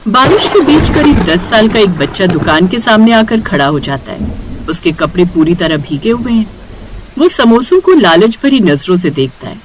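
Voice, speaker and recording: A woman's voice: mid-range voice, big pitch swings, moderate pace, noisy background. A woman reading scripted narration for a story.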